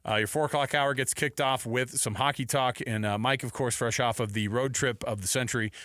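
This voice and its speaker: An American man hosting live sports talk radio, casual, animated and slangy, on a close studio microphone. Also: mid-range voice, a little fast, dry recording. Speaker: sports radio show host.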